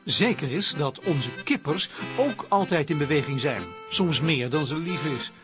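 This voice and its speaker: Lively male narrator over music. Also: a little high, measured pace. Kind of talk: wry scripted introduction. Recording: music under the voice.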